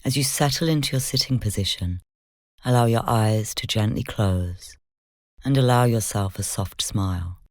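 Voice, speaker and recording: A meditation guide speaks at a medium pitch in a gentle, measured way. The delivery is soft and instructional, with breathy, whispery touches. The recording is close and dry, free of room sound. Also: dry recording.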